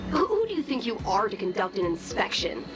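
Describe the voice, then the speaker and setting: A woman's voice: mid-range voice, big pitch swings, brisk, close to an everyday voice. An actress playing a flustered, indignant, argumentative company woman in a video game. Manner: protesting, argumentative. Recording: game music behind the voice.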